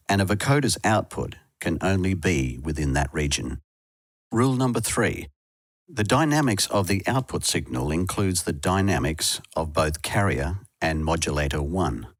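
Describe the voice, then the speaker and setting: A man's voice: sits low, dry recording. A man presenting a tutorial in scripted instructional narration, recorded as a close, dry voice-over. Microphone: close dry voice-over microphone.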